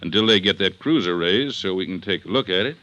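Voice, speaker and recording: Assertive prosecutor, bright forceful voice, swooping emphasis, vintage radio drama, deliberate phrasing. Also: male voice, deep voice, expressive, low-quality recording. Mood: matter-of-fact. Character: assertive Southern district attorney building a murder case. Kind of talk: old-time radio detective drama acting.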